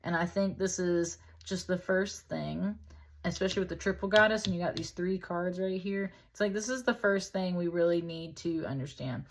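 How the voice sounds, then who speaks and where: Woman w a lowish voice doing a tarot reading, super casual and chatty, kinda thinking out loud. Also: moderate pace. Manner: informal, chatty, thinking aloud. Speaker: tarot reader, host.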